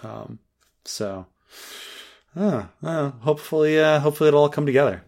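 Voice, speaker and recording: A man's voice: fairly high pitch, animated, normal speed, vocal fry, a little mellow. A man co-hosting a podcast, chatting casually and rambling with many fillers and restarts. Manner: laughs while joking. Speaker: podcast co-host. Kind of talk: casual review chat.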